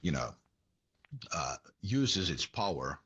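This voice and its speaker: A man with a Finnish accent giving conversational political analysis in a halting, deliberate way. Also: deep voice, sing-song, gravelly, fairly bright tone, slightly rough. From Finland. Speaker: interview guest, academic commentator.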